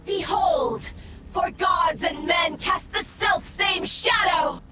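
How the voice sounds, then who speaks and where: A woman's voice: very high voice, slow, loud music behind the voice, over a noisy crowd. A woman playing a grandiose, defiant psionic villain in a video game, proclaiming theatrically in archaic phrases. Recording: noisy, with loud ambient effects.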